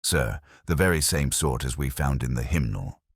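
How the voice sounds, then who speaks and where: A man plays an eager police sergeant in a mystery story. He talks plainly and earnestly in a very deep, husky voice, recorded dry and close. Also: animated, moderate pace, no room sound, exaggerated voice. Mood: triumphant.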